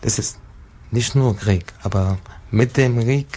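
A man in his thirties from Syria with an Arabic accent, speaking simply and haltingly as an interview guest. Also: mid-range voice, dark tone. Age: 30s. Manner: simple, halting non-native phrasing. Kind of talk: personal talk in a radio interview.

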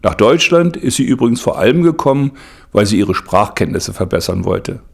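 A man's voice: fairly low pitch, very animated, moderate pace, vocal fry. A man reading scripted documentary narration as a reporter-style narrator.

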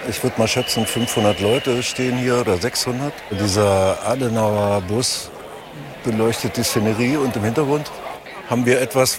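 A man working as a reporter, casually interviewing and commenting on location. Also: mid-range voice. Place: outdoors on a half-filled market square. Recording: outdoor crowd and street noise.

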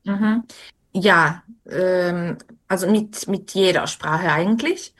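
A young woman, not a native speaker, answering interview questions slowly with many fillers and restarts. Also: on the low side. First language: not German. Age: young adult.

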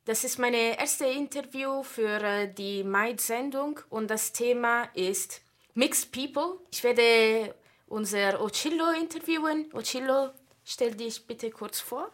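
Slow, hesitant woman interviewer. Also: on the high side. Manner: hesitant, many fillers. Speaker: radio interviewer. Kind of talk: radio interview.

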